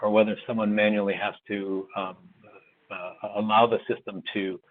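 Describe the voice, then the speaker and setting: A man's voice: fairly low pitch, level tone, moderate pace. A man discussing his project on an online call, thinking aloud hesitantly. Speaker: student presenting a project. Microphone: video call. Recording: tinny phone-like call audio.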